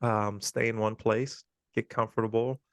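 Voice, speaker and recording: Smooth-voiced man in his forties, from Guyana. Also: on the low side, moderate pace, dry recording. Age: 40s. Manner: relaxed, chatty, frequent ums. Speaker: podcast guest. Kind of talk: casual interview talk.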